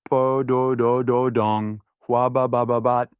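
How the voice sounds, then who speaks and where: A man's voice: medium pitch, little pitch movement, slow, smooth, no echo, dull audio, pitched above the performer's own voice, close to an everyday voice. A man voicing a cute, innocent hamster pet that babbles its own name eagerly in an audiobook. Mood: frantic effort.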